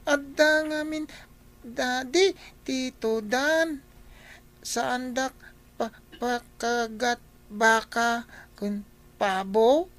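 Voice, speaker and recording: A woman's voice: high-pitched, steady tone, very slow, pauses often, fairly bright tone, full character voice. A woman voicing a fretful small boy who stammers and whines in a radio drama. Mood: evasive. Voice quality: stammering, whiny.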